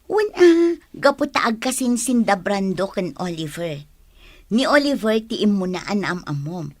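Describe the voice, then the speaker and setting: An actress playing a giddy, teasing young cousin in a radio drama, gushing and playful. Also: female voice, medium pitch, big pitch swings, unhurried, no room sound. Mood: curious, chiding. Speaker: voice actor in Ilocano radio drama.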